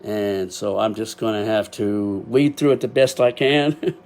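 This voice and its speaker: A man's voice: fairly low pitch, steady tone, measured pace. An older man with a Texas accent hosting his own podcast, talking casually and emotionally with frequent laughs, recorded on a built-in webcam microphone. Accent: Texan. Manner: emotional, rambling, laughs often; loud at times, deaf and can't hear himself. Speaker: podcast host. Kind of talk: casual solo podcast talk.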